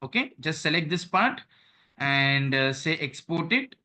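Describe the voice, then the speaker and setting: Male trainer, bright higher voice, steady step-by-step instructions over a video call. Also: unhurried. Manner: repeats "okay" and "right", walks through steps on screen. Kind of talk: online training session.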